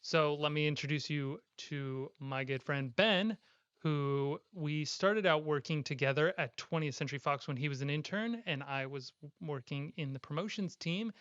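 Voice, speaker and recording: Upbeat young male podcast host, smooth voice. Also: fairly high pitch, normal speed, fairly bright tone. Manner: upbeat, playful. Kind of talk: casual interview chat. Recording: slightly dull lo-fi sound.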